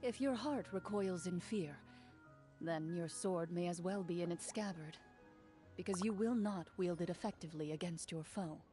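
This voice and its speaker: A woman voicing a proud, composed champion duelist in a video game, formal and deliberate. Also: on the low side, warm tone, natural voice. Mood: composed, confident. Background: background music.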